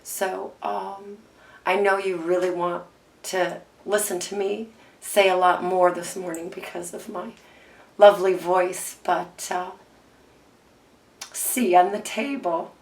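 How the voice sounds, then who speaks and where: Low, froggy-voiced woman crafter. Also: steady tone, slow pace, darkish tone. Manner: chatty, thinks aloud with ums. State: hoarse, froggy voice. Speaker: craft video host. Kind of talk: casual crafting tutorial.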